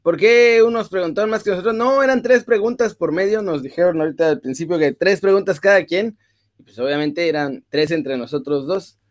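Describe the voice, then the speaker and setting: An easygoing man with a Mexican accent co-hosts a live stream, joking and talking slang in a loose, chatty flow. His voice sits a bit high for a man. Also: brisk. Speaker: co-host of a live sports stream. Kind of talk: casual live-stream chat.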